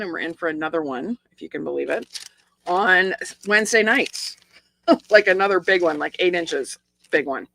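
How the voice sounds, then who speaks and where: A middle-aged woman with a croaky, hoarse voice, chatting casually as she demonstrates a stamping and card-making project step by step. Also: fairly low pitch, a bit bright, low-quality recording. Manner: chatty, explains each step with asides and small laughs. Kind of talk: casual live craft tutorial.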